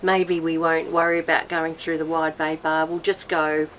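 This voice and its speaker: Woman host, slightly low voice, encouraging, faint background noise. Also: flat delivery, fairly bright tone. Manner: encouraging, quick reactions, chuckly. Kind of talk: casual interview chat.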